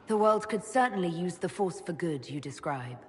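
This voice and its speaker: An actress playing a composed, supportive young elven heroine in a video game, calm, understated and gently wry. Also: female voice, on the low side, normal speed, in a large hall, slight background noise, close to an everyday voice. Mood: thoughtful. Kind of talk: dramatic video game dialogue.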